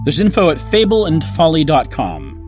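Lively, higher-voiced man reading podcast credits. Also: moderate pace. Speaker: podcast announcer. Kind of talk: scripted credits narration. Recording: light music under the voice.

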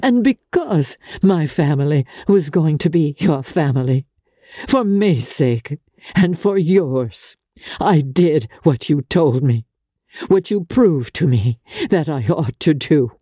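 A woman playing a worldly, wounded aristocratic woman in a dramatic, measured audiobook reading with halting pauses. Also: low voice, lively intonation, dark tone, husky, no echo, studio quality, voice pushed up, heavily acted. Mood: hurt, indignant. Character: worldly, wounded aristocratic woman, low-voiced then passionately indignant.

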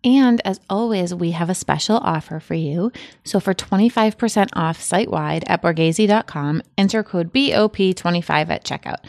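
A woman's voice: fairly low pitch, moderate pace, vocal fry, darkish tone, dry recording, studio quality. A woman co-hosting a podcast, chatting in an upbeat, enthusiastic way and reading sponsor spots. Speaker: podcast co-host. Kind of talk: casual chat and ad read.

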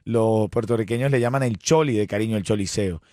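A man's voice: lowish voice, a little fast, a little mellow, no echo, studio quality. A man hosting a radio show in casual Spanish slang, joking and bantering informally. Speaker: morning radio show host. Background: background music.

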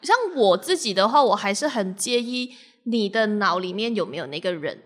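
A woman's voice: medium pitch, animated, moderate pace. A woman with a Malaysian accent chatting casually as a podcast host, playful and teasing, mixing in English words. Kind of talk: casual chat.

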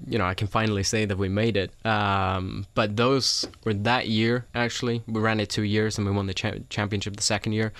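A young man from Sweden, a racing driver guest in a studio, telling his story in relaxed casual chat with frequent ums and little laughs. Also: fairly low pitch, dry recording.

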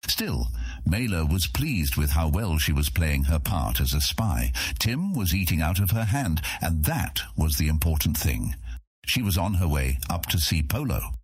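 A man's voice: very deep voice, big pitch swings, measured pace, husky, dry recording, studio quality. A man reading a fantasy audiobook as its scripted narrator.

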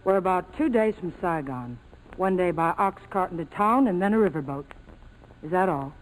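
Headstrong young woman, animated voice, played by an actress. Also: fairly low pitch, slight background noise, close to an everyday voice, poor audio. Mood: brisk, defiant. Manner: brisk, defiant. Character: headstrong, haughty, resourceful young woman passenger. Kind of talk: 1940s radio drama acting.

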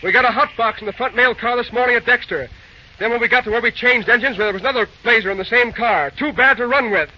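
An actor playing a shrewd, confident, plain-spoken railroad boss in an old-time radio drama. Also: male voice, very high-pitched, quick pace. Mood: firm, triumphant. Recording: muffled, noisy old radio recording.

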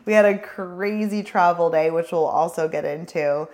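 A woman in her thirties co-hosting a podcast while hoarse from losing her voice, chatting casually and laughing often. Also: on the low side, normal speed, darkish tone. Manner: laughs often, rambling and self-correcting. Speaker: podcast co-host. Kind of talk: casual chat.

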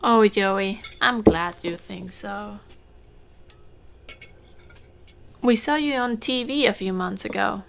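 A woman's voice: mid-range voice, a little slow, gravelly, a bit bright, slight background noise. An actress playing a kindly, middle-aged, motherly farm woman in a naturalistic audio drama. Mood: fond.

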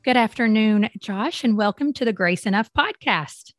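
A woman's voice: mid-range voice, animated, moderate pace, no room sound, clean studio sound, faint music behind. A woman hosting a faith podcast, reading her intro and then interviewing her guest in a conversational way. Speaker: podcast host.

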